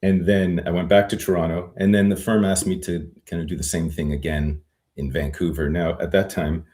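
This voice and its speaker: Deep-voiced man, reflective and meandering. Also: level tone, moderate pace, darkish tone. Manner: reflective, many fillers and restarts. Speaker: guest, retired immigration lawyer. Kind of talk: conversational interview.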